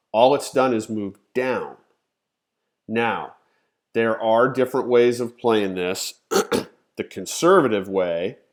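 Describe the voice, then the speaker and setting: A man in his thirties with a nasal, congested voice, teaching a live-stream chart lesson in a casual way that thinks aloud. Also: lowish voice. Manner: conversational, thinks aloud, frequent "okay?" and "you know". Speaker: trading educator, live stream host.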